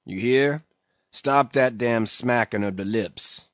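A man voicing a sullen, limping old ship's cook who mumbles a slow sermon, on a dull lo-fi recording. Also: mid-range voice, no echo, lightly acted. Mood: grumbling.

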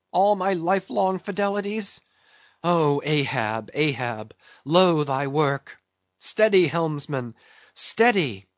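A man's voice: high voice, a bit bright, pitched above the performer's own voice, heavily acted. A man voicing a devout, despairing ship's mate with pleading exclamations in an audiobook. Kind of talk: dramatic audiobook character acting. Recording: roomy, slightly dull recording.

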